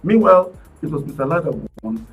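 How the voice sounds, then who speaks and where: A man with a Nigerian accent, arguing politics on a radio talk panel in an animated, emphatic way, often restarting his words. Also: a little high, fairly quick, far from the mic, soft background music. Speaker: political commentator guest. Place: radio studio.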